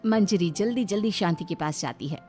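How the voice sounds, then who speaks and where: A woman narrating an animated moral story in an expressive storytelling style. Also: on the low side, brisk, faint music behind.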